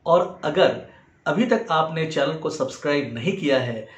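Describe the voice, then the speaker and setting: A man with an Indian accent giving an explanatory lesson in a measured way as a music teacher. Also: a little high, expressive. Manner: measured, demonstrates points with acted example phrases. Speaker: music teacher and video host. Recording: roomy, echoing sound.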